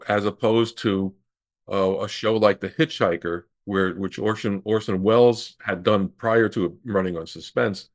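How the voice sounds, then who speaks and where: A man in his sixties answering as an expert guest, explaining haltingly with many pauses and repeats. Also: low voice. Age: 60s. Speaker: radio historian guest. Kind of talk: interview answers, recollection and explanation.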